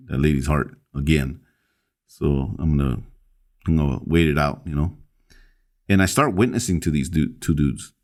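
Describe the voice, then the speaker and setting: A man from El Salvador, a pastor, recounting his story as a podcast guest in a halting way, with many fillers and restarts. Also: deep voice.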